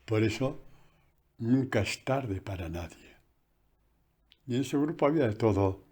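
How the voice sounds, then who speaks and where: A man, a priest, giving a conversational catechesis sermon recorded on a phone, animated and full of asides. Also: mid-range voice, measured pace, slightly rough.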